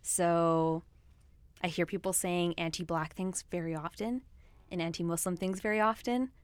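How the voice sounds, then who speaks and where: A woman who grew up speaking Swahili talks at length in a casual film discussion, outspoken and full of fillers. Her voice sits on the low side. The sound is dry and close. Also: steady tone, normal speed, clean tone, no room sound.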